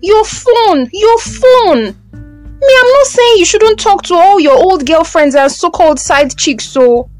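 Woman performing audio drama housewife, late twenties, Nigerian accent, high, emphatic, scolding, fed up. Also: animated, slow pace, soft background music, exaggerated voice. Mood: furious, shouting. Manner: emphatic, scolding, repeats phrases.

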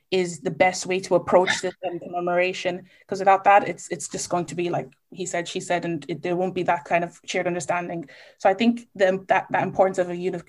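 A woman speaking as a panellist in a discussion, earnest and somewhat tentative in her phrasing. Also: lowish voice.

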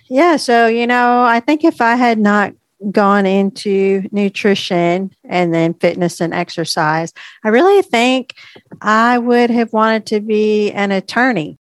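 Casual woman co-host, slightly stuffy, mid-pitched, dry close mic. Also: no room sound. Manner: relaxed, chatty, drawn-out fillers. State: a little stuffy. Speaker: podcast co-host, registered dietitian. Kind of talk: casual chat.

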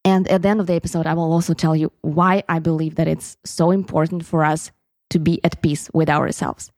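Earnest woman with a mellow, dry voice. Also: low voice, level tone, brisk, no room sound. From Crimea, Ukraine. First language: Russian. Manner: earnest, heartfelt, reflective. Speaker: podcast host. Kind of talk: personal storytelling monologue.

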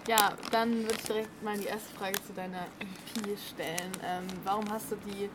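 A woman interviewing a musician in a relaxed, chatty way. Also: mid-range voice, moderate pace. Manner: relaxed, chatty, laughs easily. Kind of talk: casual interview. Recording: very noisy, with traffic behind.

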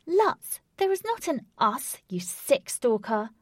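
A woman voicing a defiant young woman who confronts her stalker in a raised, forceful voice. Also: normal speed, no room sound, clean studio sound, natural voice. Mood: angry. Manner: forceful, pleading, high.

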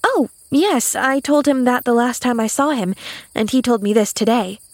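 A cheerful young girl from a storybook, acted by a woman reader. Her voice is high and earnest, with guileless, loyal chatter. The recording is close and dry. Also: normal speed, a little mellow, no echo, close to an everyday voice. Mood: eager, thoughtful. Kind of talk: audiobook character voice.

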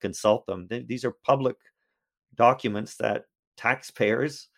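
A man's voice: medium pitch, measured pace, creaky, a bit bright. A man, a history professor, answering interview questions in a thoughtful way with frequent restarts.

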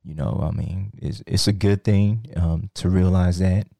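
A man in a podcast studio interview who talks haltingly, with many ums and restarts. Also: sits low, steady tone, creaky, warm tone, dry recording. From Nigeria, raised in Texas. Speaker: guest, crypto trader.

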